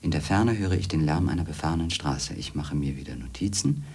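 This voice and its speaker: An actor playing a skeptical, world-weary former magazine editor who narrates in a pensive, measured way in a radio drama. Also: male voice, rumbling low, lively intonation, darkish tone, slightly rough, full character voice. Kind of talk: German radio drama, introspective narration and dialogue.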